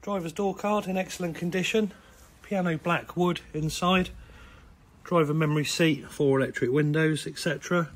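A man with a croaky, hoarse voice and a British accent, casually talking through a car's features while filming in an indoor showroom. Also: fairly high pitch, normal speed. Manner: relaxed, chatty listing of features. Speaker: car salesman. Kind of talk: walkaround car sales video. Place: indoor car showroom with tiled floor.

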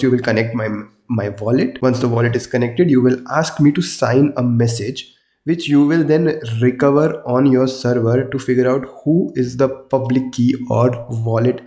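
A man's voice: medium pitch, darkish tone, roomy sound. A young man with a sore throat, hosting a casual coding tutorial and explaining each step conversationally from a small room at his desk. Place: small indoor room with wardrobe.

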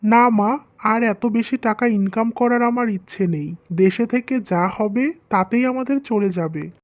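A woman's voice: fairly high pitch, unhurried, natural voice. A woman voicing a stern, easily hurt young husband and son in a cartoon drama. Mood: calm. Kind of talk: dubbed cartoon drama dialogue.

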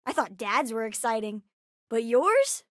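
A little space kid from a video game, high voice, bookish n earnest, super dry clean sound. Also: female voice, very animated, unhurried, no room sound. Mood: curious, chatty. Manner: eager, earnest, chatty child-like delivery. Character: bright, bookish, earnest young girl aboard a spaceship. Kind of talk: scripted video game voice lines.

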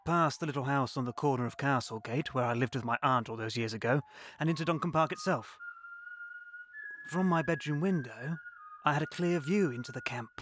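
An older man played by an actor narrates his memories in an audio drama. He is measured, lyrical and reflective, at a medium pitch, with faint ambient noise behind him. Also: a bit bright, natural voice. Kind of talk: scripted first-person narration.

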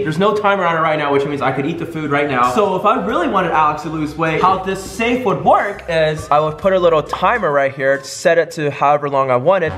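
A young man hosting a gadget-testing vlog, excitable and jokey, speaking indoors to a camera. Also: on the high side. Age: young adult. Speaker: video host. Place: indoors in a house. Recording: echoey room with loud music behind.

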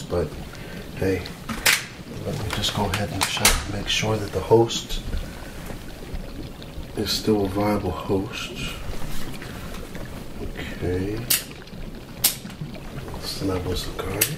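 A man playing an eager, self-important mad scientist doctor in an ASMR roleplay, musing aloud in halting short phrases. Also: lowish voice. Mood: musing, checking. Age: middle-aged. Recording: roomy, distant mic with loud noise.

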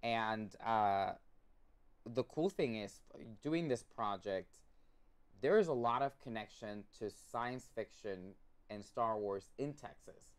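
A man's voice: on the low side, slow pace, clean tone. A young man from Puerto Rico, a documentary filmmaker, chatting eagerly on a video call with lots of restarts and fillers. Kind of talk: casual interview chat. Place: home room full of collectibles. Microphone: video call webcam.

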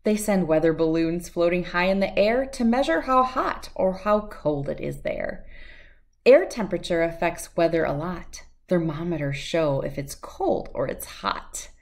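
A middle-aged American woman speaking expressively and clearly as a host of children's storybook read-alouds. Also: lowish voice, lively intonation, measured pace, darkish tone. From United States, lived in Wisconsin.

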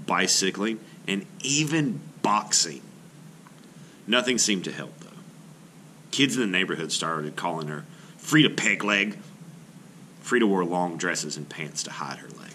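A middle-aged man reading a children's nonfiction book aloud as an art teacher, in a clear, measured, expressive way. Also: deep voice, a little hiss or hum.

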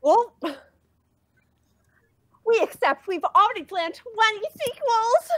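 A woman's voice: very high-pitched, lively intonation, takes it slowly, a bit bright, voice pushed up, full character voice. A woman voicing a haughty, lovestruck young female cartoon duck in a theatrical, emphatic way. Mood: smug. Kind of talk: comic dub voice acting.

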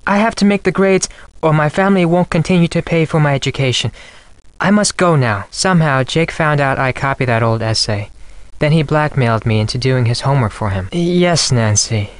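A man's voice: medium pitch, clean tone, dry recording, close to an everyday voice. A man playing a polite, formal, anxious young Japanese exchange student in a video game. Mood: ashamed, pleading. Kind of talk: scripted video game dialogue.

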